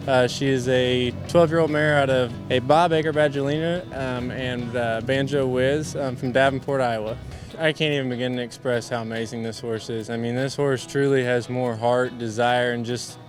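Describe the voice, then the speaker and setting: Man, horse rider, earnest, folksy, mid pitch, faint music. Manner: earnest, enthusiastic, folksy grammar.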